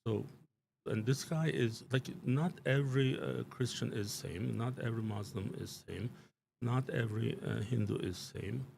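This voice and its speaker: Male studio guest: low, gravelly, emphatic, loose with fillers; slight background hiss. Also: normal speed, darkish tone. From Turkey. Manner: emphatic, rambling, frequent fillers and restarts. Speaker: show guest commentator. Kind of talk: live studio political talk. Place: small studio.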